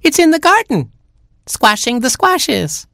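A very high, squeaky baby boy chick from a storybook. He is timid and excitable, with a whiny screech that swings about. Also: a little slow, gravelly, dry recording, clean studio sound, full character voice. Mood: excited. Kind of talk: storybook character voice.

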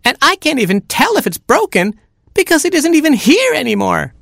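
A very high, squeaky baby boy chick from a storybook. He is timid and excitable, with a whiny screech that swings about. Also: fairly quick, creaky, dry recording, studio quality, full character voice. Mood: upset. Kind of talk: storybook character voice.

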